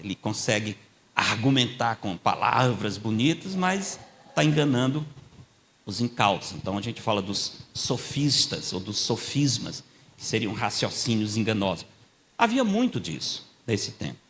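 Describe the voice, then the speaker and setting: An older man preaching a sermon in a church. He tells stories in a conversational way, checks in with the congregation, and grows louder and higher when he quotes scripture. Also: sing-song, a little slow, slight background noise. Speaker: guest preacher. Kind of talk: sermon with storytelling.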